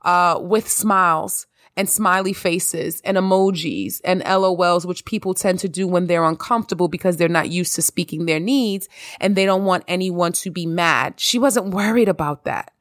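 Emphatic podcast host, dry close mic. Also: female voice, lowish voice, normal speed, dry recording. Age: adult, married. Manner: expressive, emphatic with repetition. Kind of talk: casual monologue.

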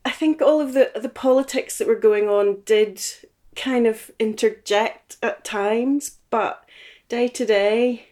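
A woman's voice: fairly high pitch, takes it slowly, darkish tone. A woman in her late forties with a Northern Irish accent, reminiscing casually as an interview guest in a thoughtful, slightly hesitant way. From Belfast, Northern Ireland. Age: late 40s. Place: indoors in a house.

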